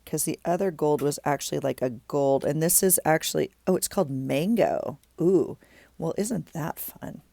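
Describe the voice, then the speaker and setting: A woman's voice: deep voice, normal speed, gravelly, warm tone, dry recording. A middle-aged woman with a stuffy nose, chatting casually as she works on a craft project, thinking aloud with many ums and restarts. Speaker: craft vlogger.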